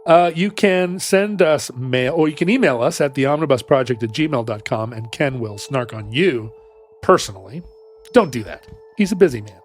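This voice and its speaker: A man's voice: mid-range voice, animated, moderate pace. A middle-aged man co-hosting a podcast, bantering playfully and laughing often. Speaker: podcast co-host. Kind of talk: casual chat.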